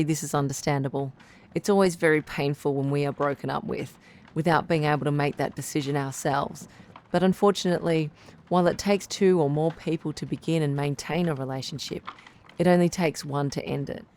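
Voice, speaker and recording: A woman playing a caring, composed radio relationship doctor who gives advice in a measured, articulate, reassuring way. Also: deep voice, darkish tone, lightly acted. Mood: sympathetic, reassuring. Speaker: radio advice show host. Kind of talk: radio drama advice-show acting.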